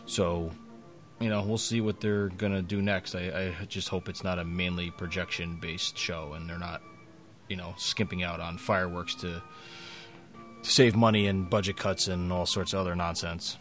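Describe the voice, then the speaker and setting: A man's voice: deep voice, level tone, normal speed, fairly bright tone, soft background music. A man co-hosting a podcast, chatting casually, agreeing eagerly and rambling a little. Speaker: podcast co-host. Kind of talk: casual chat.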